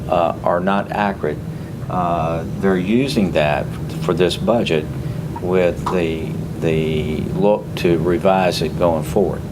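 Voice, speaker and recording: A man with a very low voice walks a city council through a budget in a steady, explanatory way, pausing often. The room's audio carries a clear hiss of background noise. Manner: measured, explanatory, with frequent fillers. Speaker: city official presenting budget. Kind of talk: council work session briefing. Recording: noisy council chamber audio.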